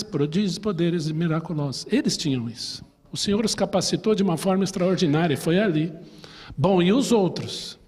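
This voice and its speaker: Man, Brazilian Portuguese accent, pastor teaching Bible class, husky, fairly high voice, reverberant church hall. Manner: chatty, asks the class questions, tells personal stories.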